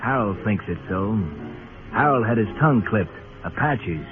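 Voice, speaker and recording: An actor playing a sly, mock-genteel outlaw who teases with polite menace in a western radio drama. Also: male voice, on the low side, expressive, moderate pace, close to an everyday voice. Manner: teasing, mock-polite, sinister. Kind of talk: 1950s radio western acting. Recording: tinny old radio recording, faint music.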